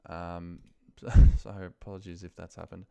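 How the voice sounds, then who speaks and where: An Australian man with a low-pitched voice and plenty of vocal fry. He talks informally, as a trainer walking someone through a task on screen. His delivery is loose, full of short asides as he thinks out loud. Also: little pitch movement, normal speed. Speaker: office trainer. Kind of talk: casual screen-recorded walkthrough.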